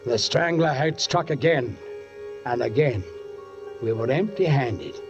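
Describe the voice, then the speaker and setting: An actor playing a brooding, menacing British police sergeant in a 1940s radio suspense drama, speaking in deliberate, literary phrases. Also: male voice, mid-range voice, lively intonation, music in the background, lightly acted. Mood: grim, haunted. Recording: muffled 1940s radio recording.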